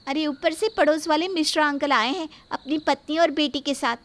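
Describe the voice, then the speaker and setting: A woman voices a young daughter-in-law in a cartoon family comedy. Her voice is extremely high, and she is polite, exclamatory and anxious to placate. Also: steady tone, moderate pace, fairly bright tone. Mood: urgent.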